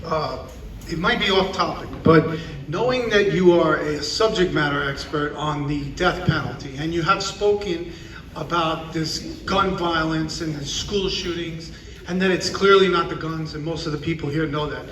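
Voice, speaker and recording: A man's voice: a little high, normal speed, a bit bright, a little hiss or hum. Polite hesitant man asking a question. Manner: polite, hesitant, repeats words. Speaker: audience member. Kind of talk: question from the audience. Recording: echoey hall, voice from far off.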